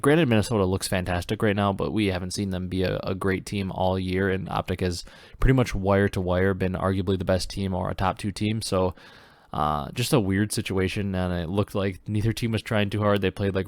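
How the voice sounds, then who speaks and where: A young man from Wisconsin hosts a solo podcast with a deep, low voice. He is stuffed up from a cold and rambles casually, with lots of "uh" fillers and restarts. The sound is dry and close, with no room echo. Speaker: podcast host.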